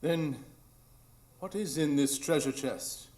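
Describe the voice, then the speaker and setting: An actor playing a brilliant, brooding detective in a live radio drama, speaking in an intense, theatrical way. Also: male voice, fairly high pitch, slow pace, darkish tone, reverberant. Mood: guarded, probing.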